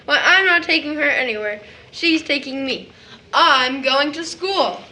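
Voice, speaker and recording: A woman's voice: sits high, pushed and bright, poor audio. A woman playing an affectionate, cheeky little boy of about seven in an audio drama, with childish, coaxing speech. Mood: cheeky, playful. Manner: childish grammar and deliberate, coaxing delivery. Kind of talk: stage-style audio drama acting.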